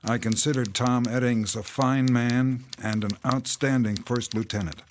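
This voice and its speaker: An actor playing a reserved army captain in a radio drama, speaking in a formal, measured, courteous way. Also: male voice, mid-range voice, steady tone, slight background noise, lightly acted. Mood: solemn, regretful.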